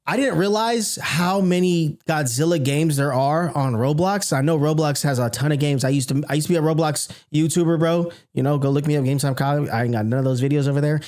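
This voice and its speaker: High-voiced man reacting casually. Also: brisk, hardly any pauses, a little mellow. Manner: slangy, interjecting, repeats phrases. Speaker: reaction video host.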